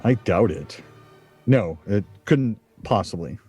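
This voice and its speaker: Fussy absorbed husband in a radio play, hesitant, soft dark tone, music behind. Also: male voice, lowish voice, expressive, slow pace, breathy edge, full character voice. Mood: preoccupied. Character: slow, fussy, distracted husband absorbed in board game. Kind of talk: stage radio play comedy acting.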